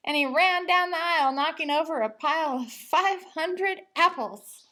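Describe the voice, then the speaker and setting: A woman reading a children's picture book aloud as an expressive storyteller, with deliberate emphasis. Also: sits high.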